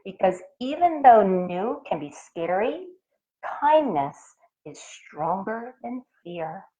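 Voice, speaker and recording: A woman voicing a friendly goose in a children's storybook with emphatic, measured storytelling. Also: medium pitch, sing-song, warm tone, lo-fi sound. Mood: encouraging.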